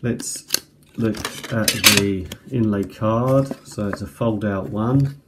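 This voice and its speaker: A middle-aged man giving a casual, unscripted hands-on product review in an unhurried, rambling way. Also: lowish voice. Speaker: amateur cassette tape reviewer. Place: indoors at a kitchen table. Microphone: tablet's built-in mic at close range. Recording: noisy home tablet recording with clicks.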